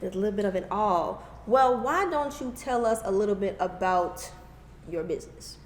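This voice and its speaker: A woman's voice: mid-range voice, lively intonation, moderate pace. A young woman co-hosting a casual podcast chat, upbeat and affirming as she leads the questions. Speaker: co-host. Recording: roomy echo with faint noise.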